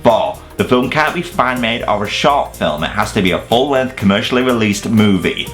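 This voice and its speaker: A man's voice: fairly low pitch, lively intonation, moderate pace, fairly bright tone, soft background music. A British man hosting a video review, delivering scripted comedic countdown narration in a sarcastic, sweary, exaggerated way. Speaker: host of a video review channel.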